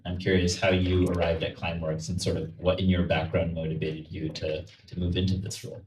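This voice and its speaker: Deep-voiced man moderating in echoey room. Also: little pitch movement, fairly quick, a little mellow. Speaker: panel moderator. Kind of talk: casual panel moderation. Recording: echoey event room, distant mic, noise.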